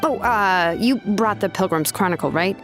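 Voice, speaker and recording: A woman playing a laid-back, practical young craftswoman in a video game, casual and matter-of-fact with hesitant pauses. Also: mid-range voice, music in the background, close to an everyday voice. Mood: casual, focused.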